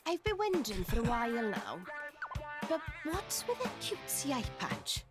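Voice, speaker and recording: A blunt, mocking young girl from a fantasy game. Her voice is high and animated, full of feisty sarcasm. Music plays clearly behind her. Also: moderate pace. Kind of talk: video game cutscene acting.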